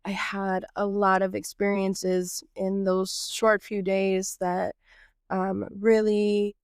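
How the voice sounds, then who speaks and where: A woman's voice: mid-range voice, smooth, dry recording. Female podcast host from Texas, nasal from a cold, clean dry close-mic voice, earnest reflective delivery, improvised feel.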